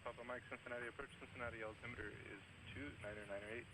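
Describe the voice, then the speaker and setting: Atc guy on radio, super fast clipped instructions, monotone, loads of noise. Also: medium pitch. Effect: aviation radio. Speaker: air traffic controllers and pilots on radio. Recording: very noisy air traffic radio feed.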